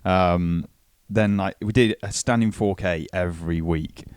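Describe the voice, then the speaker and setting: A man's voice: deep voice, a little slow, a little mellow, no echo, studio quality. A man, chatting casually and candidly as a matter-of-fact podcast guest. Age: 20s. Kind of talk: casual chat. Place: small cluttered office, indoors.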